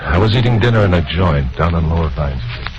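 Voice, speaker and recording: A man's voice: deep voice, animated, fast, muffled recording, a loud crowd. An American man acting as a bitter, earnest blacklisted broadcaster who narrates his own story in an old radio drama. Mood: solemn, earnest. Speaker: narrator and lead actor. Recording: old radio recording with loud organ music.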